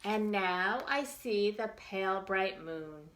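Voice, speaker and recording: A woman's voice: medium pitch, lively intonation, slow pace, fairly bright tone, slight background noise, low-quality recording. A woman hosting a storytime for young children, reading aloud in simple phrases and putting questions and asides to her little listeners. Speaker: children's librarian storytime host.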